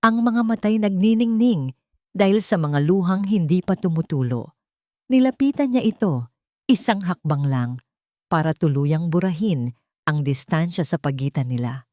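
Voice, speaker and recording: Female storyteller for romance drama: lowish, mellow voice, sentimental scripted delivery. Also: animated, normal speed, no echo, clean studio sound.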